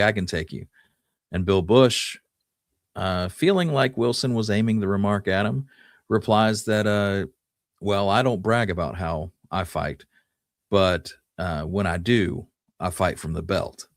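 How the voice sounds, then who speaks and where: A man co-hosting a podcast, telling a history story conversationally with folksy, halting phrasing. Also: lowish voice, no echo, clean studio sound.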